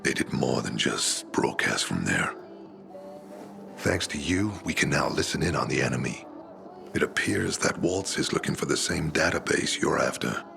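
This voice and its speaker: Old gravelly radio rebel in a game, super low crackly voice, measured and persuasive. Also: male voice, lively intonation, exaggerated voice. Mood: earnest, persuasive. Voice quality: gravelly, very deep. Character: weathered older man, persuasive rebel radio broadcaster. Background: music.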